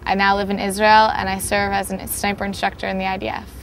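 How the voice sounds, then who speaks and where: A woman's voice: mid-range voice, monotone, fast, low-quality recording. Earnest 19-year-old New woman. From New York, USA. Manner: earnest, plain-spoken, with fillers. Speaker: soldier, sniper instructor. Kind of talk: personal interview testimony. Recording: music playing underneath.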